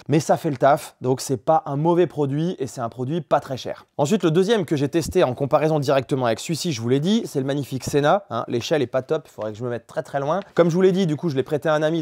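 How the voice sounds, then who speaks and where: A man's voice: fairly high pitch, a little mellow. A man giving a casual, frank product review in colloquial, chatty language, like a vlogger reviewing motorcycle gear.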